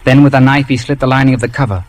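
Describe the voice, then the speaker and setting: An actor playing an earnest young man who narrates an old radio drama in a formal, literary, measured way. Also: mid-range voice, fairly even intonation, lightly acted. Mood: tense. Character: earnest young man recalling boyhood adventure. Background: music.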